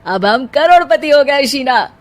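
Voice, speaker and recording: A woman voicing a gentle, reassuring young husband in an earnest cartoon drama style. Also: sits high, animated, unhurried, faint music behind, voice pushed up. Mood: excited. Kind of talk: Hindi moral-story cartoon dubbing.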